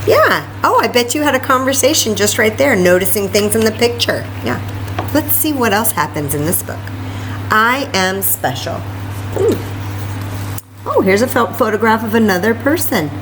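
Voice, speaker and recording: Woman, library story time host, animated swooping pitch, chatty, exclaiming, talking to small children, noisy ambient recording. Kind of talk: read-aloud story time talk to young children.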